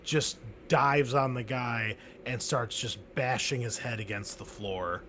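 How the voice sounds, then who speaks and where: A man running a tabletop game as game master, narrating in a casual, halting way full of fillers. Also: mid-range voice, a bit bright, a little hiss or hum.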